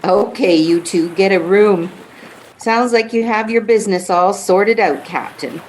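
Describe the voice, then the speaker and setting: A woman's voice: medium pitch, a little slow, darkish tone, lightly acted, low-quality recording. A woman playing a wry, sassy boat passenger in a radio play. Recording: faint background noise.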